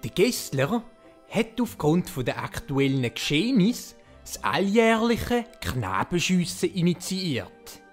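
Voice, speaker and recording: A woman's voice: lowish voice, big pitch swings, takes it slowly. A woman with a Swiss German accent narrating playfully, like a fairy tale told to children. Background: music.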